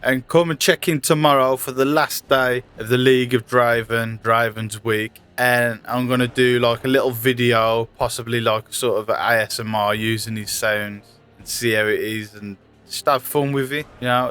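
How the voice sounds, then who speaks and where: A man hosting his own video, chatting casually to viewers in an informal, low-key, rambling way. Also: fairly bright tone, clean tone. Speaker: video creator and host. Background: music.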